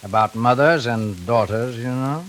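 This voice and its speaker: An actor playing a menacing intruder in a 1940s radio drama, mock-courteous, deliberate and quietly controlling. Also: male voice, medium pitch, lightly acted. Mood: slyly pleasant. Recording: hissy old radio recording.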